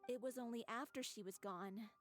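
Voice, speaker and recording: A woman's voice: on the high side, normal speed, lightly acted. A woman playing a sincere, wistful young troupe singer in a video game, with music behind her. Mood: reflective.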